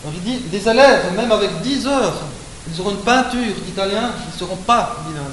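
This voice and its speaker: High-voiced man lecturing. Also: lively intonation, a little fast, a bit bright. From Switzerland. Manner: explanatory, peppered with hein and euh, frequent self-corrections. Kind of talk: academic lecture. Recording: echoey room with faint hiss.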